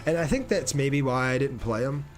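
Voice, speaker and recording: Male streamer, medium pitch, informal and slangy, casual gameplay commentary with background music. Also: animated, moderate pace. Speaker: game streamer.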